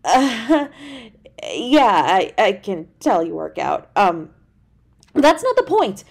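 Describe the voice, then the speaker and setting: A woman's voice: medium pitch, very animated, gravelly, a little mellow, heavily acted. A woman playing a tender, easily flustered young girlfriend in an intimate audio roleplay, speaking in a hesitant, stammering way. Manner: hesitant, stammering, halting delivery.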